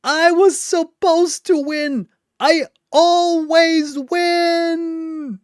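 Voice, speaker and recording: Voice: extremely high pitch, steady tone, very slow, dry recording, clean studio sound, pitched above the performer's own voice, heavily acted. A narrator voicing a chaotic, arrogant triangle demon with a theatrical, indignant manner in an audio story. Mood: shrieking outrage.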